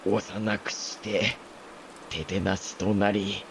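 A man playing a dying older samurai lord, an anguished father speaking halting, archaic lordly Japanese in a historical audio drama. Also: lowish voice, flat delivery, slight background noise. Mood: weary, tender.

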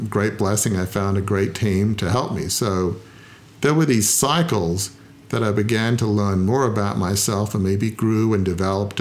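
A middle-aged man talking in an interview, reflective and self-deprecating, often pausing with "uh" as he thinks. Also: fairly low pitch. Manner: thoughtful, self-deprecating, frequent "uh" pauses. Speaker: founder and interview guest.

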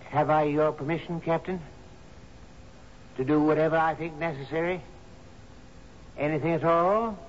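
An actor playing a captain's steady, deferential right-hand man in a 1970s radio drama, measured and soothing. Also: a little high, natural voice. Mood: earnest, assuring. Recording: muffled, noisy 1970s radio broadcast.

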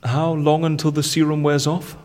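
An actor playing a cheeky, scheming con artist in an audio drama, with a wry, persuasive way of talking. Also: male voice, fairly high pitch, level tone, normal speed, a little mellow, lightly acted. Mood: coolly threatening.

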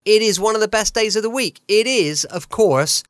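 A man's voice: high-pitched, normal speed, smooth, dry recording, clean studio sound. An English-accented man hosting a podcast from home, chatty and enthusiastic as he introduces the show. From United Kingdom. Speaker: podcast host. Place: home, close dry microphone.

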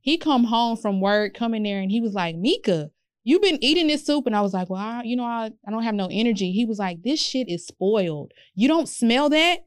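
A woman's voice: fairly high pitch, expressive, fairly quick, clear, resonant tone, no echo. Stuffy-nosed young woman telling a story. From Houston, Texas. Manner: candid storytelling, lots of "like" and "literally". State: stuffy, congested. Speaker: podcast co-host. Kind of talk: casual chat.

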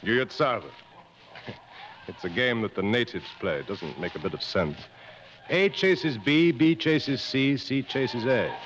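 Seasoned safari guide, knowing and fatalistic, very animated pitch, vintage radio drama on a dull recording. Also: male voice, slow. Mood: weary, philosophical. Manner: knowing, didactic, dryly fatalistic. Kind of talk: mid-century American radio drama acting.

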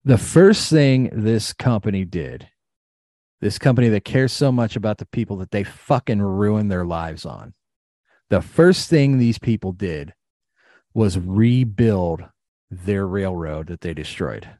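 A man's voice: on the low side, expressive, unhurried, warm tone, no echo, studio quality. A middle-aged American man giving an impassioned personal account, blunt and emphatic, repeating phrases and swearing. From Ohio, United States.

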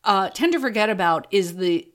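Female podcast guest, medium register, conversational, self-deprecating and animated, with emphatic repeats. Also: medium pitch, moderate pace. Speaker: guest, comedy fiction podcast creator. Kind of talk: casual conversational interview.

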